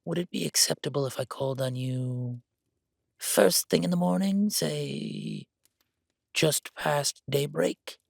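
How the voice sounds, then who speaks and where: A man's voice: a little high, slow, creaky, no room sound, clean studio sound, voice pushed up, full character voice. A man playing a smug, condescending noble envoy with a haughty, mock-polite and theatrical manner in an audio drama. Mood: slyly polite.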